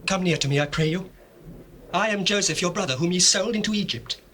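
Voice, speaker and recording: A man's voice: sits high, a little fast, sharp tone, slight background noise. An actor playing an emotional governor of Egypt addressing his family in a dramatized scripture on old cassette tape. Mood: overcome, tender. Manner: fervent, declamatory. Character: Joseph, emotional lordly governor of Egypt, tender brother. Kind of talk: dramatized scripture, theatrical stage acting.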